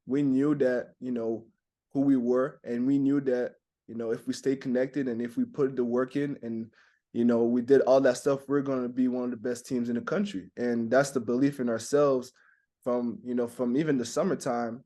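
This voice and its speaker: A young man from Montreal who grew up speaking French talks through interview answers. His delivery is flat and even, and he keeps restarting phrases and padding them with fillers. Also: medium pitch. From Montreal, Canada. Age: young adult. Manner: halting, many "you know" fillers and restarts. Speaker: basketball draft prospect.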